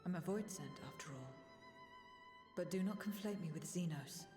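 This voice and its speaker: A woman voicing a guarded, stern otherworldly voidsent in a video game, with terse, formal, measured speech. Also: fairly low pitch, lightly acted. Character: female voidsent, guarded, stern, bitter about former master. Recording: echoey, long reverb, music behind.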